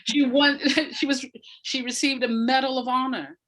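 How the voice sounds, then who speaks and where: A woman's voice: high-pitched, fairly even intonation, normal speed, fairly bright tone, poor audio. A woman speaking as an interview guest, explaining in an emphatic way and repeating phrases for effect. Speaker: interview guest, audio drama maker. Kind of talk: animated explanatory interview talk.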